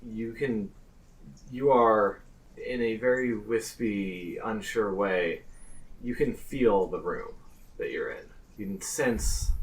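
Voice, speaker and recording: A man improvising narration as game master of a tabletop game, pausing hesitantly mid-phrase. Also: on the low side, far from the mic, slight background noise, low-quality recording.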